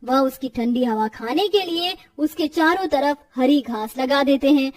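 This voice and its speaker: A woman's voice: sits high, unhurried, dry recording, distant mic. A woman narrator reading a scripted cartoon story.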